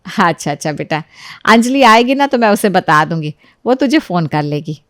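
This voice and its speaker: A woman's voice: fairly low pitch, expressive, moderate pace, fairly bright tone, no room sound, clean studio sound. Motherly, credulous mother-in-law, acted by a woman. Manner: motherly, easily swayed. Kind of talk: dramatized Hindi moral story acting.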